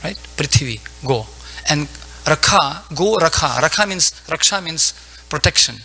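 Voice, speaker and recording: A man who is not a native English speaker gives a devotional sermon in short, halting phrases, searching for words and quoting scripture. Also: fairly high pitch, pushed and bright, poor audio. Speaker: preacher giving a scripture lecture. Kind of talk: devotional sermon with Sanskrit quotations.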